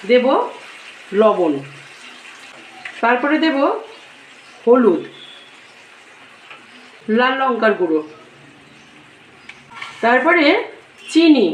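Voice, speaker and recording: A woman hosting a cooking channel, giving recipe instructions in a measured, step-by-step way in short phrases. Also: a little high, a little hiss or hum. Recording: roomy echo, music behind.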